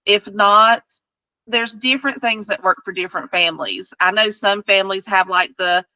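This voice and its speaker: A woman tutor on a podcast giving easygoing advice to parents, her talk loose and full of fillers. Her voice is bright, and it is heard close and dry. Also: medium pitch, moderate pace, dry recording, poor audio.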